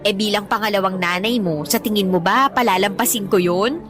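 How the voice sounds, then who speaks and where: A woman's voice: mid-range voice, a little fast, music in the background, close to an everyday voice. An actress playing an affectionate, teasing, motherly former nanny in an emotional radio drama. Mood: tender. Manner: emotional, tender, playfully scolding. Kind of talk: Tagalog radio drama acting.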